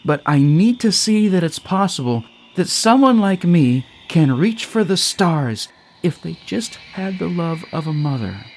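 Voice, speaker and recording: A man's voice: on the high side, very animated, unhurried, slight background noise, voice pushed up. A man voicing a regretful woman in her fifties who longs to be a mother, in an audio story. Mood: regretful, earnest. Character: fifty-something woman, regretful, sad, wanting to mother.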